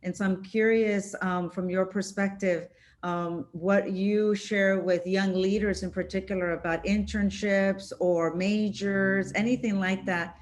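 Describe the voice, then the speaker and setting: A woman's voice: mid-range voice, steady tone, measured pace. A woman moderating a virtual conference, introducing a speaker and asking questions in a hesitant way with many fillers.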